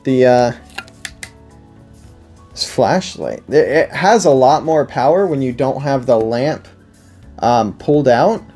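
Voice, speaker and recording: Male reviewer, 20s, unhurried and mid-pitched, enthusiastic and emphatic, off-the-cuff delivery. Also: lively intonation, warm tone, soft background music. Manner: enthusiastic, emphatic, repeats phrases. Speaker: product review host. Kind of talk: casual unscripted product review. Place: indoor room, seated at a table before a backdrop.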